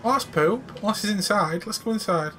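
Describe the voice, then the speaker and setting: A gamer giving casual, relaxed let's play commentary, thinking aloud while playing. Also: medium pitch, fairly quick, a little hiss or hum.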